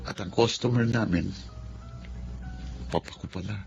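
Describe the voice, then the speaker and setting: An actor with a Filipino accent playing an earnest, sensitive young son in an emotional radio drama. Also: male voice, lowish voice, measured pace, gravelly. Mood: sorrowful. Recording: dull lo-fi audio, loud music behind.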